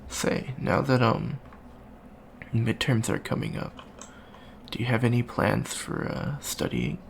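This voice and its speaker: A man's voice: mid-range voice, slow pace, gravelly. A man playing a shy, friendly young avian alien student in an ASMR roleplay, hesitant, stammering and rambling. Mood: shy, eager. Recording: faint background noise.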